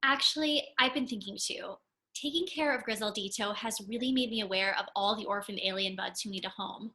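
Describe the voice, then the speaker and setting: A woman voicing a sweet, excitable, tender-hearted young woman at a comedy table read. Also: medium pitch, brisk. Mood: tender, determined.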